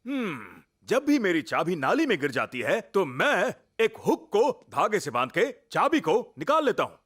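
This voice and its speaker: A man's voice: sits high, huge pitch swings, a little slow, a bit bright, heavily acted. A man playing a self-important, easily startled authority figure in a dubbed children's cartoon. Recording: music behind the voice.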